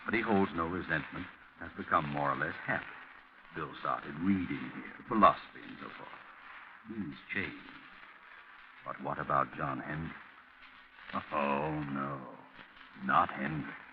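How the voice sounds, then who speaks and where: A man's voice: rumbling low, unhurried. A man narrating a 1940s radio suspense drama in a brooding, dramatic manner, addressing the listener directly. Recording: noisy, muffled 1940s radio recording.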